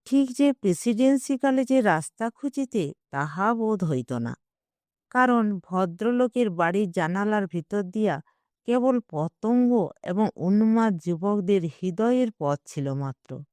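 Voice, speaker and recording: A woman voicing a wry, coaxing old Bengali gentleman, a self-justifying matchmaker in an audio storybook, speaking deliberately. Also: medium pitch, animated, no room sound, clean studio sound, lightly acted. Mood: wry, teasing.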